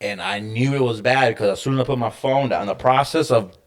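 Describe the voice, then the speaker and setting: A man who works in a hospital, co-hosting a casual podcast and telling a story in animated, profane, slangy language. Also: mid-range voice, quick pace. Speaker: podcast co-host; hospital medical worker.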